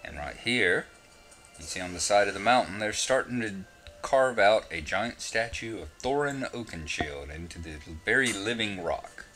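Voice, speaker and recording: A man with a Southern US drawl narrates a game walkthrough in a deep, low voice. His tone is bright and forward. He rambles in a relaxed way and pokes fun at himself between directions. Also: normal speed, faint music behind. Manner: relaxed, rambling, self-mocking asides. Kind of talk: casual gameplay commentary.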